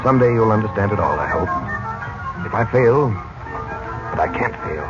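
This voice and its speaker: A man's voice: lowish voice, lively intonation, natural voice. Solemn magician played by a man, muffled old radio. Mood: grave, guarded. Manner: solemn, measured, portentous. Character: grave, mysterious adult magician uncle with psychic powers. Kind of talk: 1940s radio serial acting. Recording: muffled old radio sound, music behind.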